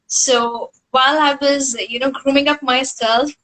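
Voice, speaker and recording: A young Indian woman with a high voice and a bright, clear edge. She speaks earnestly about herself, with an Indian English accent and a native Hindi background. She leans on "you know" as she goes. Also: steady tone, measured pace, rough recording. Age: 20s. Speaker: guest professor. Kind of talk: casual interview.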